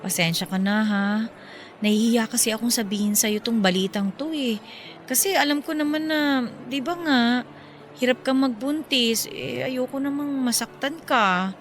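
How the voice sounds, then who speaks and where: A woman's voice: on the high side, moderate pace, a little hiss or hum, lightly acted. An actress playing a considerate, bashful young mother in a Filipino radio drama, speaking in a hesitant, apologetic way.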